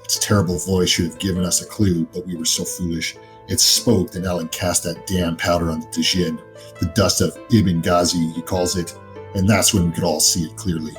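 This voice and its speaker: Low voiced guy game mastering a horror rpg, talking it through off the cuff, music behind. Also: flat delivery, moderate pace. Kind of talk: narrating a tabletop horror roleplaying game.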